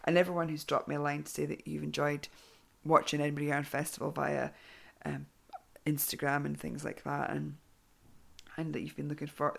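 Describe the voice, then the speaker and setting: Low gravelly-voiced woman podcaster. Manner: chatty, rambling, with many ums and restarts. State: voice going hoarse. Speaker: podcast host. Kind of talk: casual podcast chat.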